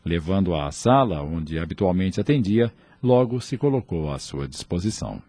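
A man narrating a scripted radio drama in a measured, formal manner. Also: low-pitched, lively intonation, mellow, no echo.

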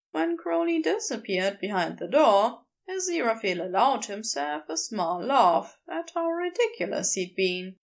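A woman's voice: fairly high pitch, vocal fry. A woman reading scripted story narration as an audiobook-style narrator. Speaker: podfic narrator.

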